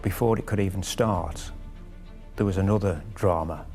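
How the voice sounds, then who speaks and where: A man with a low, gravelly voice gives a documentary interview. He explains court proceedings in his own words, with music beneath. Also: moderate pace. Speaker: expert interviewee.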